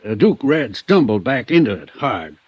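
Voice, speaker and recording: Older American male country veterinarian: folksy, defensive, animated, on a close, dull vintage recording. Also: medium pitch, moderate pace, no room sound. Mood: guarded, explanatory. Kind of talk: 1950s radio drama acting.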